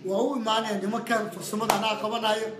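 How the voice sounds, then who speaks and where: A man's voice: sits high, flat delivery, very fast, reverberant, crowd noise. A man hosting a joking political chat show, laughing often as he talks. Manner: laughs often while talking. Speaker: comedy show host. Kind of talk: joking political banter in Somali.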